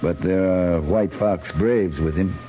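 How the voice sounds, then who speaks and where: An actor playing a steady, dry cavalry captain in a 1950s radio western drama. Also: male voice, low-pitched, slow, faint music behind. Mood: grave persuasion. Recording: muffled, hissy 1950s radio recording.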